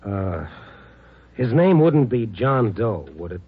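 A man's voice: on the low side, sing-song, slow pace, breathy edge, heavily acted. An actor playing a dogged, compassionate newspaper reporter in a 1950s radio drama, telling his story tersely and asking probing questions. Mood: gentle, probing. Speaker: actor in the lead role and narrator. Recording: muffled old radio recording with music.

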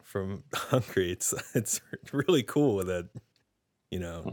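A man's voice: low-pitched, expressive, takes it slowly, darkish tone, no room sound. Rambling, hesitant male podcast host. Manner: rambling, hesitant, many restarts and laughs. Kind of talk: casual interview chat over video call. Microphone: video call.

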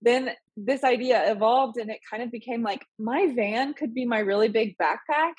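A woman's voice: on the high side, moderate pace, a little mellow, husky, no room sound. A woman in her thirties, a little sick and nasal, chatting casually as a podcast guest with lots of fillers. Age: 30s. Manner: chatty, rambling with many fillers and laughs. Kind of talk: casual interview chat.

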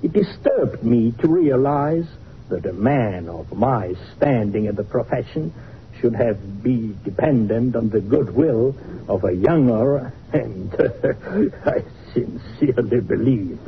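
An actor playing an older, learned Viennese psychoanalyst in a 1940s radio drama, speaking in a deliberate, formal, self-important way. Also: male voice, medium pitch, animated. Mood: musing, self-important. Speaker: psychoanalyst character. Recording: muffled, noisy old radio recording.

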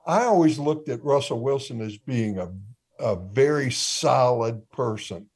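A slow-talking man with a husky voice asks drawn-out, probing questions on a sports talk panel. He is a co-host, talking casually with the others. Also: medium pitch, animated, darkish tone. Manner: poses long probing questions.

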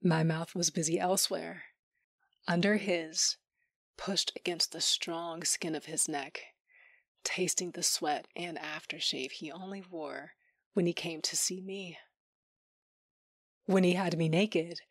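A woman's voice: on the low side, fairly even intonation, unhurried, dry recording, studio quality. A woman playing a tough, sardonic young fighter who tells her own story intimately in an audio drama, sometimes dropping to a whisper. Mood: intimate, wry. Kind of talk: first-person audio drama narration.

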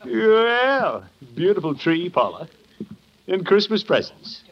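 A man's voice: sits high, sing-song, slow, full character voice. Through a muffled, hissy 1950s radio recording comes a jovial, pompous middle-aged uncle. He is a bashful, flirtatious suitor at heart, hamming up his lines in classic sitcom style.

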